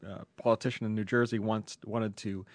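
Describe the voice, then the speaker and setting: A man co-hosting a casual TV podcast, dropping wry, deadpan quips. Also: lowish voice, brisk, no room sound. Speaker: podcast co-host, journalist. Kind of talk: casual comedic podcast banter.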